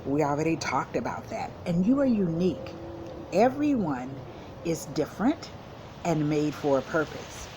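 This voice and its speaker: A woman school counselor speaking to young children in simple, encouraging words with deliberate emphasis, as in a read-aloud lesson. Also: sits low, sing-song, background noise.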